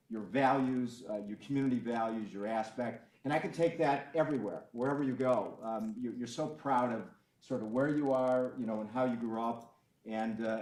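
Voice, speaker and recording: Halting man in an echoey room. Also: mid-range voice. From Cleveland area, Ohio. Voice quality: loud voice. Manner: halting, many ums and restarts. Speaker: county official speaking at a public forum. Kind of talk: unscripted public talk.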